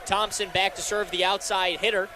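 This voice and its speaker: A man's voice: sits high, flat delivery, moderate pace, crowd noise, noisy background. A young man calling live volleyball play-by-play as a radio announcer.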